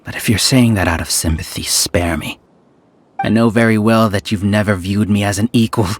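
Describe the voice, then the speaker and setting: A man's voice: fairly low pitch, fairly even intonation, normal speed, close to an everyday voice. A man voicing a bitter, resentful scholar. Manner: bitter and defensive. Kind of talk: game voice acting.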